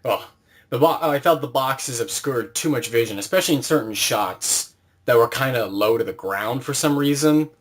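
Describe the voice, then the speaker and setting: Mid-pitched man hosting a casual interview. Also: normal speed. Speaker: interviewer, host.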